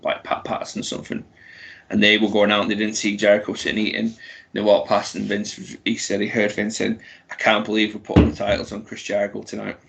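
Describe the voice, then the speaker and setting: A man's voice: lowish voice, creaky, a bit bright, low-quality recording. A man co-hosting a casual wrestling chat, talking eagerly and often stumbling over his words. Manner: stammering restarts, enthusiastic. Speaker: podcast co-host.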